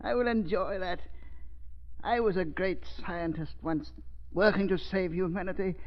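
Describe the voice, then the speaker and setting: A gloating vengeful scientist. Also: male voice, high-pitched, unhurried. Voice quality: gloating, bitter. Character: embittered vengeful male scientist. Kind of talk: 1930s radio drama. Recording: muffled old radio recording.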